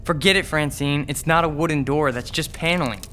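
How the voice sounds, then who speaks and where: An actor playing a wisecracking, irritable young boyfriend trapped in an apartment, in an audio horror drama. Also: male voice, a little high, a little fast, noisy background, close to an everyday voice. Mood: uneasy, resigned. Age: young adult. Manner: wisecracking, grumbling.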